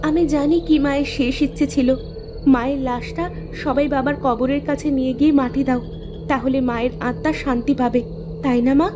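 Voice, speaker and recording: A woman's voice: high-pitched, steady tone, normal speed, over music, lightly acted. A woman voicing a concerned, practical village wife in a cartoon, coaxing and chiding, on a dull recording. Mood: assured. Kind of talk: cartoon dialogue.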